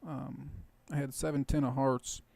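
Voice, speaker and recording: A man with a tired, hoarse voice and some gravel in it talks through his poker hands. He explains his strategy casually, thinking aloud with plenty of fillers. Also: medium pitch, flat delivery, measured pace, dark tone, no room sound. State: hoarse, rough voice. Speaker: poker video host. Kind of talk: casual poker commentary over gameplay.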